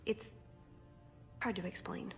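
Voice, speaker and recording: An actress as a measured, guarded female spy. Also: faint music behind, close to an everyday voice. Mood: earnest, guarded. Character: female Qunari spy, earnest, guarded, dryly witty. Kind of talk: video game voice acting.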